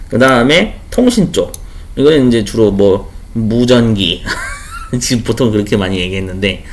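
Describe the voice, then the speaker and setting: Chatty male instructor with very lively pitch. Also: moderate pace, a little hiss or hum. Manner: chatty, explains with everyday examples and asides; repeats key terms for emphasis. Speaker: online instructor for a certification exam. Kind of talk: informal exam-question walkthrough lecture.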